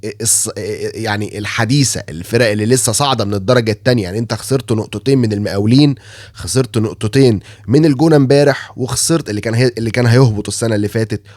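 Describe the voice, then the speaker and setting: A man's voice: lowish voice, darkish tone, no echo, studio quality. A man with an Egyptian Arabic accent and a cold, giving a critical casual monologue about football, with many filler sounds and restarts. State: has a cold, hoarse. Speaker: football commentator.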